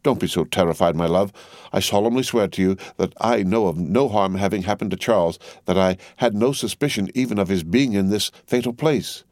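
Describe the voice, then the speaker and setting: A male narrator acts out an elderly gentleman from a storybook. He is anxious and protective, speaking with earnest, solemn urgency. The sound is dry and intimate. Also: fairly low pitch, fairly quick, no room sound, lightly acted. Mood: urgent, soothing.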